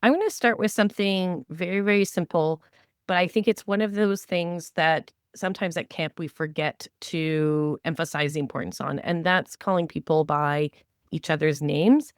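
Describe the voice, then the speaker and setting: A woman's voice: low-pitched, moderate pace, clean tone, no echo, studio quality. A woman co-hosting a podcast, giving conversational advice with emphatic, repeated phrasing. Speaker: podcast co-host. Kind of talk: conversational advice on camp staff training.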